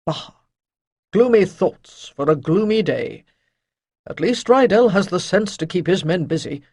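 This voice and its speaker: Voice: mid-range voice, expressive, measured pace, dry recording, studio quality. A narrator doing a kindly old master. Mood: gloomy. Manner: patient, gently lecturing. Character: elderly wise male master, calm and kindly.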